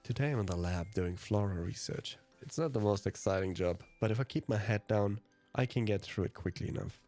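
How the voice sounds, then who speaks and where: A man's voice: on the low side, a little fast, mellow. A native German speaker, a man, narrating and commenting on a visual novel as a let's play host. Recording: music behind the voice.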